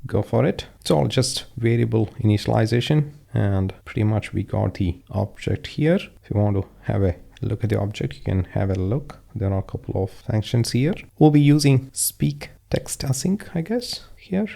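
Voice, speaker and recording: A man's voice: fairly low pitch, expressive, moderate pace, creaky, few pauses, warm tone. A middle-aged man from Kerala with an Indian English accent, explaining a coding demo step by step in a conversational way. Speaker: course instructor. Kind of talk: screen-share coding tutorial.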